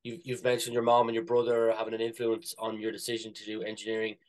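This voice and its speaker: A man's voice: lowish voice, completely flat delivery, fast, darkish tone, rough recording. A man hosting a business podcast, asking friendly, loosely phrased questions. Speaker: podcast host. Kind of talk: interview questions.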